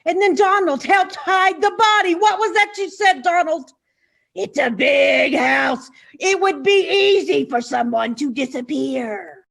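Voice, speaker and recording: A bold, determined lady reporter from a stage farce, acted by a woman. Her voice is extremely high and slow, with melodramatic, deliberate phrasing. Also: gravelly, a bit bright, heavily acted. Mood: accusing.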